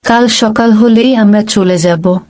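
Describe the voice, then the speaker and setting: Polite young female traveller played by a woman, animated horror tale, unhurried speech, dry close sound. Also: medium pitch, no echo, natural voice. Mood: nervous, pleading. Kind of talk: animated horror story dialogue.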